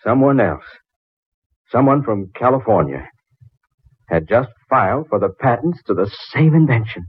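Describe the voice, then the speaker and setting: An actor playing a despairing, lovesick, weary young inventor with halting delivery, in 1940s radio drama style. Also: male voice, mid-range voice, animated, breathy edge, phone-quality sound. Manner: halting, heavy-hearted.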